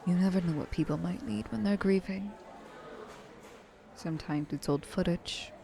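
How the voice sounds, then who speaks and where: A woman's voice: fairly low pitch, moderate pace, gravelly, dark tone. A woman voicing an ancient, seductive, possessive vampire trillionaire in an intimate, poised ASMR roleplay. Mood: calm, reflective.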